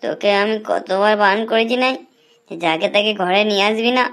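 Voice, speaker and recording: A woman's voice: medium pitch, a little fast, fairly bright tone, lightly acted. A woman playing a suspicious old village woman, scolding and questioning, in a comedy cartoon.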